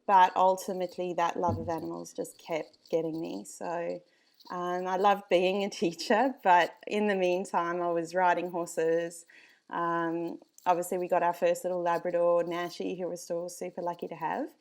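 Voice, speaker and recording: Chatty South African woman, lowish voice. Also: gravelly. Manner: chatty, frequent ums, laughs easily. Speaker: dog breeder and animal therapist guest. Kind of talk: casual podcast chat.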